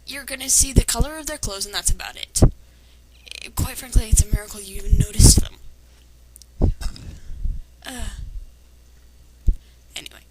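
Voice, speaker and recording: A woman's voice: a little high, normal speed, pauses often, very noisy. A woman reading fan fiction aloud with sarcastic, critical commentary. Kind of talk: dramatic reading with mocking commentary.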